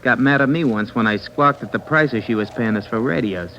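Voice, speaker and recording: Young slangy crook griping, medium pitch, old muffled radio recording. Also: male voice, brisk, bright, forceful tone, faint music behind. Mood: grumbling. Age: young adult. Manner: slangy, complaining. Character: grumbling, streetwise young male car-burglary gang leader. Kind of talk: mid-century radio crime drama acting. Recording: dull, lightly noisy old radio broadcast.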